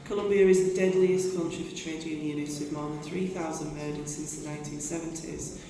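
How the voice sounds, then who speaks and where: A woman's voice: deep voice, steady tone, brisk, mellow. British woman chairing a meeting in an echoey hall. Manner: reads scripted text with frequent restarts and asides to the audience. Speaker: meeting chair, union general secretary. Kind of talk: chairing a conference fringe meeting, reading prepared remarks. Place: conference meeting room. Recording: echoey hall, voice from far off, noisy.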